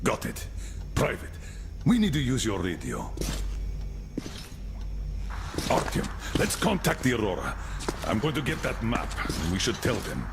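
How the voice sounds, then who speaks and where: A man playing a gruff, terse middle-aged military colonel giving urgent orders in a video game. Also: fairly low pitch, wildly swooping pitch, normal speed, far from the mic, full character voice. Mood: stern, subdued. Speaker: military commander. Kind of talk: video game cutscene acting. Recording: loud vehicle and storm noise behind.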